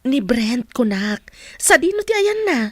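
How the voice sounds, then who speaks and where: A woman's voice: sits high, big pitch swings, unhurried, dry recording, exaggerated voice. A woman with an Ilocano accent playing an outspoken, protective family friend in a radio drama, earnest and emphatic. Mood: insistent. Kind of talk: Filipino radio drama acting.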